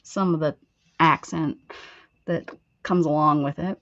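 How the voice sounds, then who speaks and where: A woman's voice: low voice, fairly even intonation, unhurried, a bit bright, no room sound, lo-fi sound. A woman with a mixed northern and Southern accent, chatting casually and rambling on a livestream, laughing often. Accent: mixed Pittsburgh and Texas. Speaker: craft channel host.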